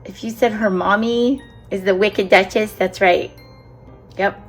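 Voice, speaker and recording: Slow-talking teacher reading to children. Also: female voice, mid-range voice, fairly even intonation, faint music behind. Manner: chatty, asks the children questions and laughs along. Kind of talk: children's picture-book read-aloud.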